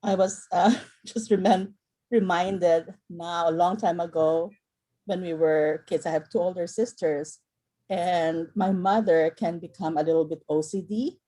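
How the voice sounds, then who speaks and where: A woman's voice: lowish voice, steady tone, measured pace, dark tone, low-quality recording. A middle-aged woman from the Philippines, chatting casually on a video call, rambling with fillers and restarts. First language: Filipino. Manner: rambling, many fillers and restarts, laughs often. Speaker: workshop participant.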